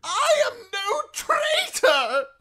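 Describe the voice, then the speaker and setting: A man voicing a pompous older highborn lord, a pampered prisoner with a screechy edge, in a fantasy audiobook. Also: very animated, a little slow, heavily acted. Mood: desperate, outraged. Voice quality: shrill, screechy when upset. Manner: pompous, theatrical, aristocratic.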